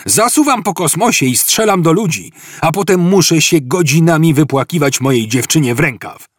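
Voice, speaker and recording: A man's voice: fairly high pitch, animated, normal speed, dry recording, clean studio sound, pitched above the performer's own voice. A man playing an indignant, wordy space policeman in a comic audiobook, bellowing his earnest speeches. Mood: indignant, self-important. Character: megaphone-wielding space policeman, indignant, self-righteous, wordy.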